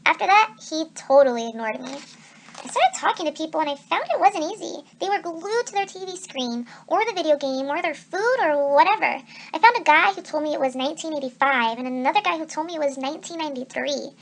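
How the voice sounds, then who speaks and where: A woman reading a children's adventure novel aloud as a teacher would, with expressive narration. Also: high voice, brisk.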